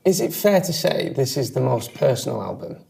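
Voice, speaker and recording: A woman's voice: extremely low pitch, big pitch swings, a little fast, creaky, mellow, a little hiss or hum. A woman from Lancashire with a Lancashire accent, interviewing a guest in a chatty, teasing way. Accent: Lancashire English. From England. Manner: chatty, teasing, explains slang. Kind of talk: casual interview chat. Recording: slightly distant mic, faint clatter.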